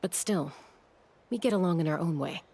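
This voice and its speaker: A woman voicing a composed, self-assured young older sister in a video game, speaking in a measured, unruffled way. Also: fairly low pitch, creaky, dark tone, a little hiss or hum. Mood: reassuring.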